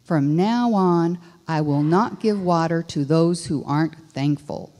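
A woman's voice: deep voice, measured pace, dark tone. A woman voicing a harsh, fault-finding male water keeper, speaking in a stern, scolding way. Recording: long reverb of a large hall.

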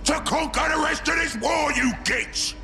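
Gravelly, bellowing ork brute. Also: male voice, very high-pitched, sharp tone, shouting, faint music behind, full character voice. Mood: excited bellowing. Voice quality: gravelly, rough. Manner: bellowing, drawn-out, crude. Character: brutish, greedy, scheming ork warboss and his excitable underlings. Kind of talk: video game character acting.

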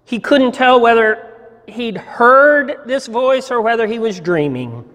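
A man in his sixties reads a story aloud with expressive, deliberate pauses. His voice sits high for a man and moves slowly. It rings with the long reverb of a church hall. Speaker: pastor giving a dramatic reading. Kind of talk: dramatic story reading to an audience. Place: church sanctuary, indoors.

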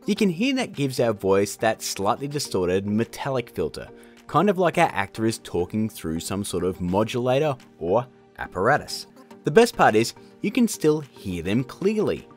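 A man hosting a casual software tutorial in a chatty, jokey way. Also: mid-range voice, very animated, normal speed, faint music behind. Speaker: tutorial host. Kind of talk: casual screen-recorded tutorial narration.

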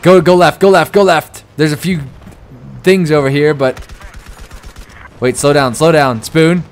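A man's voice: on the high side, unhurried. A young man chatting casually and joking while playing a shooter game with a friend. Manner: animated, jokey, shouts in firefights. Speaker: gaming channel host. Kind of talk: casual co-op gameplay chat.

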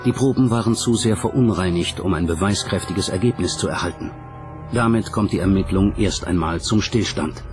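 Low-pitched male documentary narrator. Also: normal speed. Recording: music under the voice. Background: background music.

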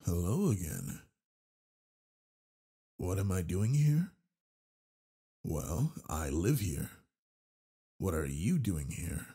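A man performs a brawny male werewolf alpha for a romantic audio drama. The voice is very husky and low, with a gravelly creak. He is flirtatious yet gentle and protective. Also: big pitch swings, exaggerated voice. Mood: friendly, charming. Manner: slow, soft, teasing. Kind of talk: intimate romantic audio roleplay.

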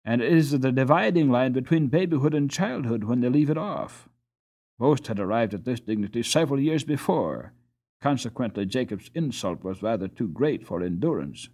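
A man narrates an audiobook story for children. His reading is expressive, with a very lively rise and fall at a middling pitch. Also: normal speed.

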